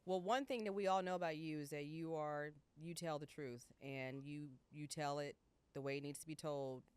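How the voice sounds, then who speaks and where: A woman's voice: low-pitched, moderate pace, smooth, dry recording. A woman hosting a podcast interview, affirming her guest and asking questions with small restarts. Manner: warm affirming listener, asks in restarts. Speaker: podcast host. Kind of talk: casual interview.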